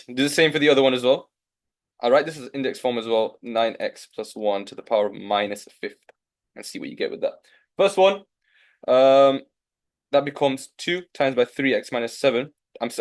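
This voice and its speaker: Yorkshire guy tutoring maths online live, young, keeps cheering students on, regular mid voice. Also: medium pitch. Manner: encouraging, coaxing students.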